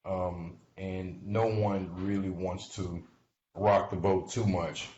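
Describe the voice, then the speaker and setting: A man giving informal explanatory investment commentary in a halting, conversational way, often checking in with the listener. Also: sits low, flat delivery, a little mellow. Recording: muffled, noisy audio in echoey room.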